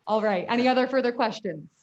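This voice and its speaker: A woman's voice: fairly high pitch, moderate pace, vocal fry, lo-fi sound. A young woman chairing a student government meeting, effusive and upbeat, heaping praise on speakers. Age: young adult.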